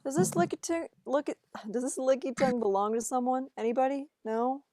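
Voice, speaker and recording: A woman's voice: sits high, brisk, warm tone, no echo, lightly acted. Casting: woman as awkward, earnest young office guy, everyday delivery, dry close recording. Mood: awkward, polite. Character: earnest young male insurance appraiser, awkward and polite. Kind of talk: amateur table read of a film script.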